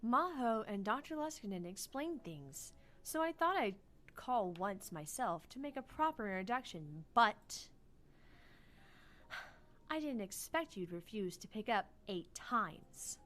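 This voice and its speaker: A woman's voice: mid-range voice, very animated, measured pace, a bit bright. A woman voicing a prickly young female AI from an anime visual novel, tart but caring. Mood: miffed, scolding. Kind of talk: visual novel character read-aloud.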